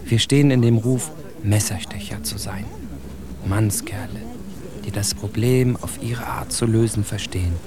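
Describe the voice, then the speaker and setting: A man's voice: lowish voice, unhurried, crowd noise, close to an everyday voice. A man playing a bitter, articulate immigrant in a literary radio drama monologue, with intense, rhetorical phrasing. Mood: bitter, insistent. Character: bitter, articulate immigrant man indicting his generation's plight. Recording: music bed under the voice.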